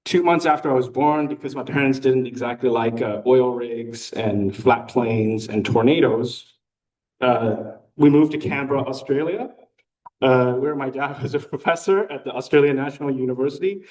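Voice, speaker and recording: A young American man giving a casual personal talk with slides to a club meeting, relaxed and chatty with frequent fillers. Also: medium pitch, normal speed, recorded from a distance, rough recording. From United States. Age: young adult. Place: meeting room.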